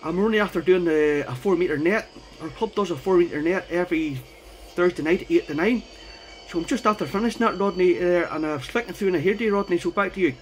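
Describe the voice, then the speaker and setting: Male radio amateur, high-pitched, informal and chatty, slight hiss on the recording. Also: quick pace. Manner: chatty, informal, repeats "you know what I mean". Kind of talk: casual radio contact chat.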